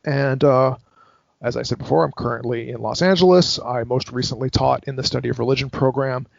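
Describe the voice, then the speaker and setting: A man's voice: mid-range voice, steady tone, fairly quick, gravelly, dry recording, dull audio. A man in his fifties from Hawaii, a scholar giving a long account of his background in an interview over a call, hesitant and full of fillers and restarts. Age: 50s. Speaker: guest scholar. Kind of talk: academic interview.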